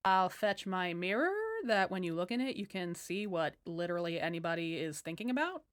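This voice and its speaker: A woman's voice: lowish voice, animated, a little fast, clean tone, lightly acted. A woman voicing a grudging merchant father bargaining in a fairy tale, casual and evasive.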